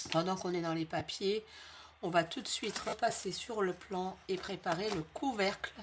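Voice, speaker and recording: A woman with a slight head cold hosting a craft tutorial in a friendly, chatty way, repeating each measurement slowly for her viewers. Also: on the low side, a little hiss or hum. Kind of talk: casual step-by-step craft tutorial.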